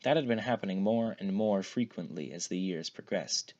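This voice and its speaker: A man reading fan fiction aloud as an expressive narrated story. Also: low voice, normal speed, highs cut off. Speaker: fan fiction podfic reader.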